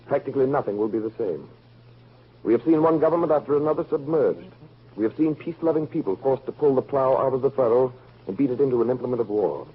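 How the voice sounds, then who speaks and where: A man's voice: mid-range voice, fast, slightly rough, close to an everyday voice. An actor playing an earnest, patriotic man in 1940s radio drama, speaking in a formal, oratorical way. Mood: grave, urgent. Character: earnest, patriotic man Nicky reading a wartime address. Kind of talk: 1940s American radio acting. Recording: muffled old 1940s radio recording.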